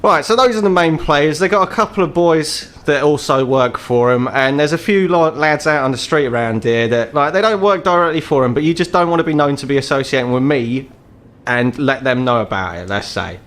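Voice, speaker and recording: A man's voice: on the high side, brisk, fairly bright tone, lightly acted. Tabletop game troll fixer, acted by a man: male, ageing, British accent, blunt, bitter, world-weary, swears freely. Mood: gruff, resigned. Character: embittered ageing crippled troll, gruff underworld fixer, male.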